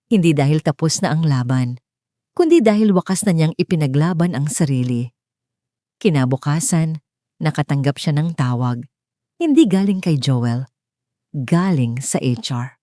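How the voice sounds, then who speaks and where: Female Filipino storyteller for scripted drama narration; lower register, soft dark tone, close dry recording. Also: dry recording.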